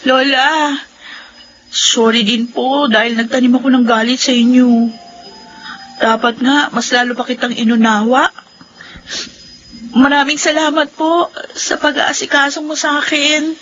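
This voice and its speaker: High, bright earnest young woman. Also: level tone, unhurried, long pauses, a little hiss or hum. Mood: apologetic. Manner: emotive, earnest delivery. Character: earnest, wounded, forgiving young woman, daughter and granddaughter. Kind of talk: Filipino radio drama acting and narration. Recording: dull radio broadcast over music.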